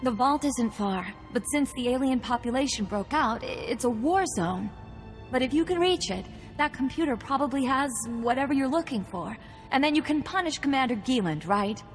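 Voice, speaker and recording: An actress playing a shaken, weary officer in a war zone, speaking earnestly in a video game cutscene. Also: female voice, high voice, lively intonation, moderate pace. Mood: grim, urgent. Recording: long hall reverb, faint music.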